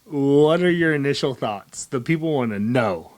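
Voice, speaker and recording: Animated man hosting a casual video. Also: medium pitch, normal speed. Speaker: video channel host. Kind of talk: casual chat, interviewing a co-host.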